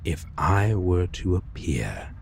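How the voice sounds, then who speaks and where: Man playing a very deep, slow, gravelly vampire. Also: sing-song, slight background noise, full character voice. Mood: regretful, tender. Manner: halting, breathy pauses, soft endearments. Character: brooding centuries-weary male vampire, tender former vampire hunter. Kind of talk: slow intimate romantic audio roleplay.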